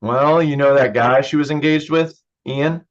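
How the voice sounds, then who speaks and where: A man's voice: mid-range voice, steady tone, unhurried, dull audio, lightly acted. A man acting in a naturalistic play reading as an evasive, joking young brother who hides his drinking. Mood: subdued, guarded. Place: remote online staged reading.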